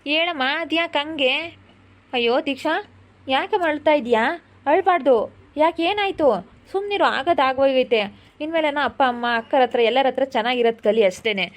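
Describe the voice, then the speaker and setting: Woman voicing a soothing, caring family man, high-toned. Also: animated, brisk. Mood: concerned, coaxing. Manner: soothing, coaxing, colloquial. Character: caring, reassuring adult husband and brother-in-law.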